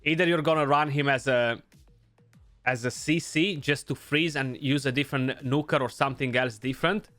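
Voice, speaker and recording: A young man with a Romanian accent talks through a game match in a casual, thinking-aloud way. His voice is bright and forward, at a middle pitch for a man. Faint music plays under him. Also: steady tone, moderate pace. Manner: casual, thinking aloud, frequent "you know". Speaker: gaming YouTuber. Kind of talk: gameplay commentary.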